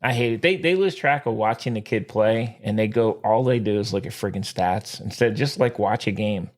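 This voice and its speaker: A man co-hosting a podcast in casual sports chat, ranting with exasperation and jumping up in pitch when worked up. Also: normal speed. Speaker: podcast co-host.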